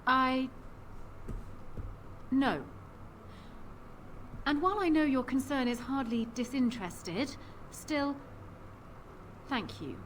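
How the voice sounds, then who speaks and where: Proud, cool queen, higher-pitched, noisy backdrop. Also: a bit bright. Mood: composed, formal. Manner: formal, measured, composed wording. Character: proud, shrewd widowed queen, coolly pragmatic. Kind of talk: scripted video game acting.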